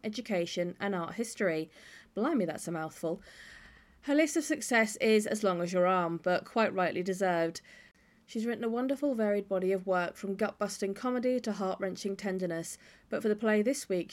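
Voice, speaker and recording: A woman giving a scripted podcast introduction as host. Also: medium pitch.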